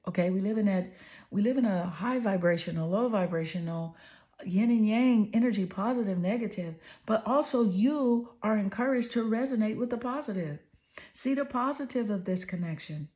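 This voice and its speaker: Tarot reader, woman, hoarse, mellow and affirming delivery. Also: medium pitch. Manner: soothing, affirming, repeats "right?". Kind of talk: tarot reading to camera.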